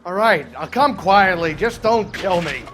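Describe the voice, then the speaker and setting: An actor playing a cowardly, desperate crook under arrest, pleading in a video game with music behind him. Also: male voice, sits high, lively intonation, measured pace, noisy background. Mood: frightened.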